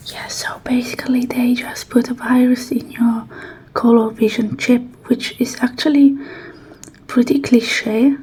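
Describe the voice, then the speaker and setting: A woman playing a calm, self-assured hacker in an ASMR roleplay, hushed and reassuring. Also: a little high, measured pace, close to an everyday voice, low-quality recording.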